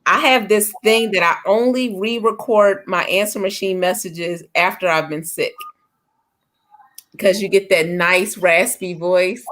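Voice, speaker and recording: Woman, casual chat, chatty, confiding, mid pitch. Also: fairly bright tone.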